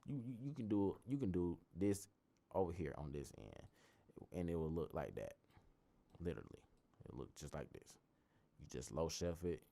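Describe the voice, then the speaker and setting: Deep-voiced man with a bassy tone. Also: very animated, moderate pace, creaky, pauses often, dark tone. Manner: loose, rambling explanations with frequent restarts. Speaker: tutorial host. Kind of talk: casual screen-recorded tutorial.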